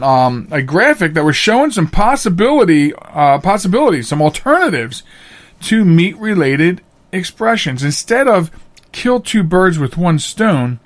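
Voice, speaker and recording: A middle-aged man hosting a podcast, giving casual opinionated commentary in a halting, emphatic way. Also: on the high side. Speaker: podcast host.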